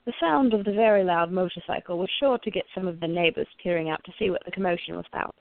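A woman's voice: lowish voice, fast, vocal fry, no room sound, narrow, tinny audio. A woman narrating a radio play from a script.

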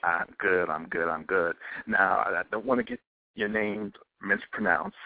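Deep gravelly male radio host. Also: moderate pace, a little hiss or hum. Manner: relaxed, repeats words while finding phrasing. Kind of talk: casual radio interview. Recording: dry, tinny phone-like line.

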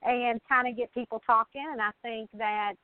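A woman's voice: a little high, flat delivery, normal speed, no room sound. Effusive woman on a narrow phone line. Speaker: guest, mystery novelist. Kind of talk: casual interview chat.

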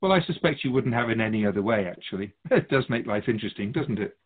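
A man playing a wise, curious otherworldly spirit guide in a radio drama, musing formally with gentle wry humour. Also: fairly low pitch, animated, fast. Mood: wry, friendly. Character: Keeper of Souls Purpose, wise curious otherworldly being. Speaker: spirit guide being interviewed. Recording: muffled phone-like narrow band.

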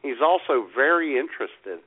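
Animated man with a tinny sound. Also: mid-range voice, normal speed, husky. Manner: incredulous, joking. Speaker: co-host. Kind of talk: casual radio banter. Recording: tinny phone-like audio.

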